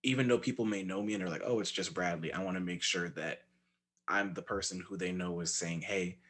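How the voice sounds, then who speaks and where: A young man who is a former resident assistant speaks as a panelist in a casual discussion, explaining his points in a measured, articulate way. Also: low-pitched, a bit bright, breathy edge.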